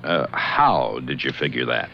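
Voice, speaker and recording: An actor playing a gruff middle-aged police desk sergeant in a radio drama. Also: male voice, extremely low pitch, big pitch swings, measured pace, full character voice. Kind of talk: 1970s radio drama acting. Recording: dull lo-fi radio recording with hiss.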